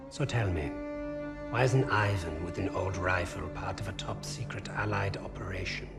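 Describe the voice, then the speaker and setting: An actor playing a menacing, oily Nazi officer in a war video game, coldly polite and mocking, with a German accent. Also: male voice, low voice, moderate pace, gravelly. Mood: mocking menace. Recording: loud music underneath.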